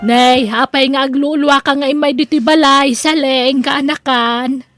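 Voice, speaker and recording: A woman's voice: high voice, steady tone, a little slow, music in the background. A woman playing a concerned Ilocano aunt who scolds and exclaims over her elderly brother's young bride, in a comedy radio drama. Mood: surprised, concerned.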